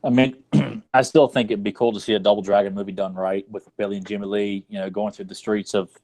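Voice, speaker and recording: A man's voice: on the low side, steady tone, fast, a little mellow, poor audio. A man in casual conversation as a podcast co-host, with a crude, sarcastic way of talking. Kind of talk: casual chat.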